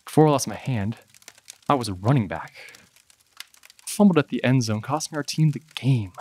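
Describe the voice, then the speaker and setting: A man playing a tough but wounded teenage football player with a gravelly voice in a dramatized audiobook. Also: medium pitch, lively intonation, normal speed, a little mellow, lightly acted. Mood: pained recounting.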